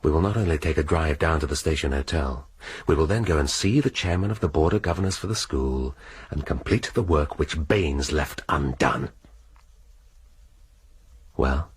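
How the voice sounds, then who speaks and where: A gruff, sardonic police inspector in a radio drama, talking bluntly with a dry edge. His voice sits very low and comes across unusually clean and clear. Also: moderate pace, exaggerated voice. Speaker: police detective.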